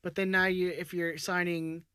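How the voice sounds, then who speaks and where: A man with a high voice chatting as co-host on a sports podcast. He hesitates often and leans on fillers. The sound is dry and close. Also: little pitch movement, moderate pace, sharp tone, clear, resonant tone, dry recording. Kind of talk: casual sports podcast chat.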